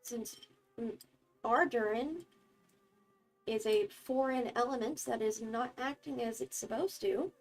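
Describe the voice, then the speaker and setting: A woman's voice: a little high, animated, unhurried, long pauses, far from the mic, soft background music, poor audio. A woman streamer giving casual commentary while playing a video game.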